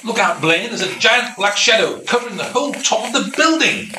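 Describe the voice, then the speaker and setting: A man's voice: sits high, expressive, brisk, echoey room, recorded from a distance, noisy background. A man playing a helpful, informative ghost companion in an amateur radio play.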